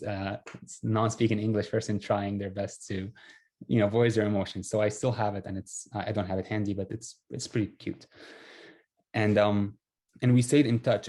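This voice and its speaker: A young man from Syria speaks English. His voice is fairly low and very mellow. He tells his own story conversationally, with a modest, self-effacing manner. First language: Arabic. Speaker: refugee telling his life story. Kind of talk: casual personal storytelling.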